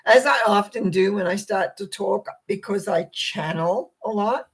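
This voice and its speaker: A middle-aged woman with a croaky, husky voice gives a gentle, meandering spiritual talk and often clears her throat. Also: medium pitch, measured pace. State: croaky, rough voice. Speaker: spiritual teacher. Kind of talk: reflective talk to viewers.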